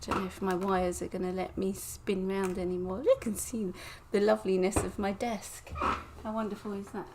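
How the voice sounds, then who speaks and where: A woman from England, chatting casually to viewers on a live stream from her desk, croaky and sniffly with a cold, often laughing. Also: medium pitch, level tone, moderate pace, few pauses, noisy background. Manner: chatty, giggly, greets viewers by name. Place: home desk indoors.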